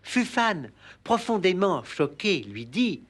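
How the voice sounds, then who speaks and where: High-voiced, lisping pompous poetry reader. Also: male voice, sing-song, slow pace, a bit bright, full character voice. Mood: dramatic storytelling. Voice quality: muddled sibilants, lisp-like impediment. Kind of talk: theatrical comic poetry recital.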